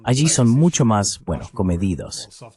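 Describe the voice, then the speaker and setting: A man voicing a translated interview as the interviewer, speaking in a measured, analytical manner. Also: on the low side, very animated, warm tone.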